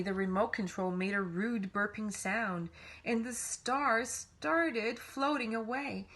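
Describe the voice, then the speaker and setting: A woman reads a children's storybook aloud in an animated way, leaning on key words with dramatic emphasis. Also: mid-range voice, a little hiss or hum. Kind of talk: expressive read-aloud storytelling.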